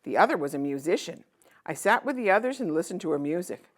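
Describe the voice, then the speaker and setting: Fatherly storybook king in merchant's disguise, read by a woman: gentle, reflective, widely varied pitch. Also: big pitch swings, fast. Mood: matter-of-fact. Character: kind, weary traveling king disguised as a merchant. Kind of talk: children's storybook read-aloud.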